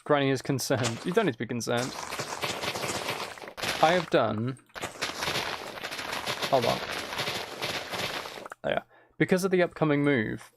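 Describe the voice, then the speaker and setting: A man with a creaky, gravelly voice at a middling pitch talks casually through a game on a live stream. He thinks aloud and drops in asides to viewers, with faint noise and clicking in the recording. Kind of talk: casual gameplay commentary and chat.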